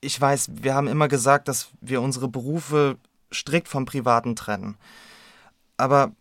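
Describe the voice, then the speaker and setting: An actor playing a serious, hesitant husband in an audio drama, speaking earnestly. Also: male voice, mid-range voice, fairly even intonation, moderate pace, fairly bright tone, no room sound, clean studio sound, natural voice.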